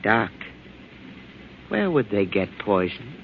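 An actor playing a paranoid, unstable hard-drinking husband in old-time radio drama, agitated and accusing. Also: male voice, lowish voice, very animated, slow pace, exaggerated voice. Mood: fearful, suspicious. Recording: noisy, muffled old radio recording.

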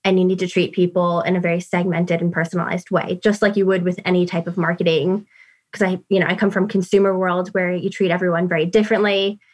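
A woman's voice: on the low side, little pitch movement, fast talker. Chatty New York woman. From New York City. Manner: chatty, fillers like "like". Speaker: guest. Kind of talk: casual interview talk.

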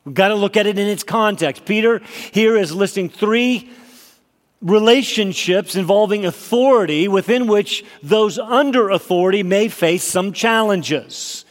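A male pastor preaching a sermon, slightly nasal from a cold, emphatic and rising in pitch on his key points. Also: unhurried. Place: church sanctuary. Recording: long hall reverb.

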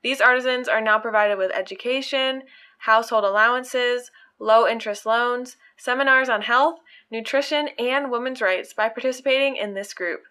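A woman hosting a podcast, reading stories aloud in an earnest, measured way. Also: a little high, sharp tone, smooth. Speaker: podcast host.

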